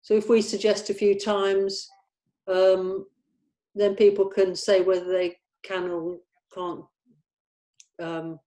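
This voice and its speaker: A woman talking casually and haltingly as she organises a script table read, a little tentative. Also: medium pitch, level tone, mellow. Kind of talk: casual meeting chat.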